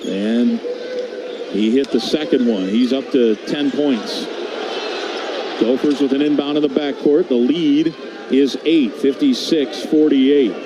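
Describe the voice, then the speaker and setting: A man's voice: mid-range voice, takes it slowly. An American man calling live basketball play-by-play on radio in short, clipped phrases, describing the action as it happens. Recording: very noisy arena background. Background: arena crowd and game ambience.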